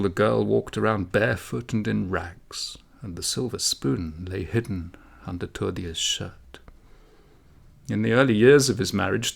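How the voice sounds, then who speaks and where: A man reading a comic folk tale aloud as a storyteller, in a measured, expressive way. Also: on the low side, vocal fry. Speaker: audiobook reader, storyteller.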